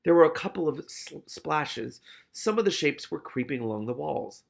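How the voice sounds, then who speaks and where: A man's voice: a little high, expressive, moderate pace, creaky. A man reading a novel aloud expressively, with casual, candid asides of his own.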